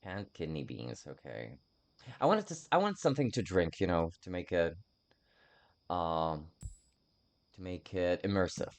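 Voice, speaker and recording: Low voice guy doing a gameplay stream, rambly, makes fun of himself, pitch goes all over. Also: very animated, measured pace, long pauses. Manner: rambling, self-mocking. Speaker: video game streamer. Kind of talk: casual playthrough commentary, thinking aloud.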